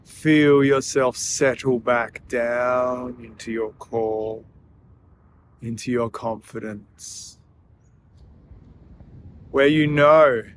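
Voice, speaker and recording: A man leading a guided breathing meditation in a soothing, gentle manner, leaving long pauses between phrases. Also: mid-range voice, a little hiss or hum.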